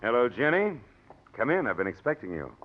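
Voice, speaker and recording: An actor playing a menacing, smug blackmailer in an old radio drama, taunting with mock-friendly wheedling. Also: male voice, mid-range voice, big pitch swings, brisk, slight background noise, lightly acted. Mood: smooth, taunting. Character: menacing, smug male blackmailer, mockingly sweet. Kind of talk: 1940s radio drama acting. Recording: muffled old radio broadcast recording.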